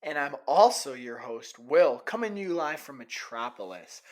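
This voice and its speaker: A man's voice: mid-range voice, lively intonation, moderate pace, lightly acted. A man playing a skeptical, teasing young college radio co-host in casual audio drama banter. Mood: curious. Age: young adult.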